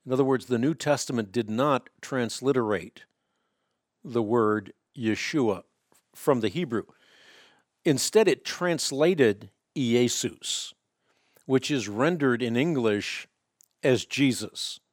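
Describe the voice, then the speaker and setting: Emphatic radio host, dry studio sound. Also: male voice, medium pitch, no room sound. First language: Dutch. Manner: fluent, emphatic teacherly explanations with quick repeated restarts. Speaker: radio talk show host. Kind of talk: call-in radio Q&A, answering callers.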